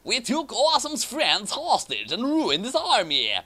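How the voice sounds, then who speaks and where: Man doing a loud, gloating gun-toting villain. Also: extremely high pitch, huge pitch swings, unhurried, voice pushed up, heavily acted. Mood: gloating, boastful. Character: gleeful gun-toting male villain politician, loud and boastful.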